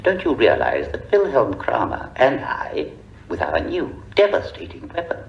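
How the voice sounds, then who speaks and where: A man's voice: mid-range voice, huge pitch swings, a little slow, gravelly, highs cut off, a little hiss or hum, exaggerated voice. An actor playing a power-mad male scientist who rants grandly and drops into whispers, in an old radio drama. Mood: grandiose. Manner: grandiose ranting, with whispered passages. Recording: echoey old radio broadcast.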